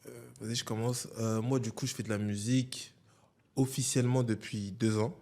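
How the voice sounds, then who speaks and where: A man's voice: lowish voice, fairly even intonation, moderate pace, warm tone. A man in his twenties, a guest artist, speaking hesitantly with lots of fillers in casual chat. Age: 20s. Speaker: guest, rapper.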